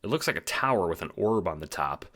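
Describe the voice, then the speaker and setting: A man's voice: sits low, expressive, fast, fairly bright tone. A man delivering scripted audiobook narration in a wry, theatrical, playful way.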